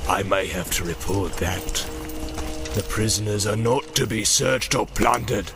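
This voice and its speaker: A man voicing a sly, evil orc with a soft, sneering, coldly threatening voice for a fantasy audiobook. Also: on the low side, a little slow, creaky, over music.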